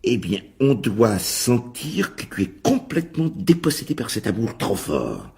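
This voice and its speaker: An actor playing a bossy, effusive theatre director in a French radio drama, brisk and patronising with endearments. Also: male voice, mid-range voice, wildly swooping pitch, vocal fry, a little mellow, exaggerated voice. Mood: effusive, instructive.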